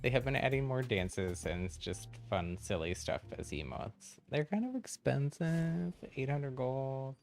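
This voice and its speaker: Male streamer: informal gameplay chat, slow and creaky, faint music underneath. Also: mid-range voice, animated, darkish tone. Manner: informal, often chuckles between remarks. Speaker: game livestreamer. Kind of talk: casual chatty gameplay commentary.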